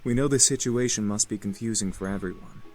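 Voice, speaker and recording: A man voicing a courteous, composed young gentleman servant in a visual novel, with music behind him. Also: on the low side, a little fast, lightly acted. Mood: polite, composed.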